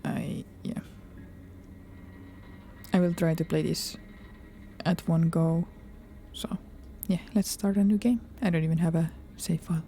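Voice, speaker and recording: A woman doing let's play commentary, reading game text aloud in a soft-spoken voice that often turns whispery. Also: low voice, fairly even intonation, measured pace, pauses often. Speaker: video game streamer. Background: game music.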